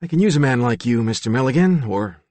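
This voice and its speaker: A man's voice: mid-range voice, expressive, quick pace, darkish tone, no room sound, close to an everyday voice. A man voicing a cocky young crime boss with a commanding, businesslike manner in an audiobook. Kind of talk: audiobook character dialogue.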